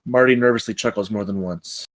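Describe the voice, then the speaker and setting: A man's voice: lowish voice, brisk. A man narrating stage directions from a screenplay at a table read.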